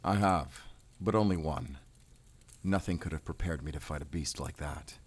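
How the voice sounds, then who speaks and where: A man playing a grim, determined werewolf hunter in an audio drama, measured, brooding and terse. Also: very deep voice, breathy edge. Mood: measured, intent. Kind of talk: cinematic audio drama acting.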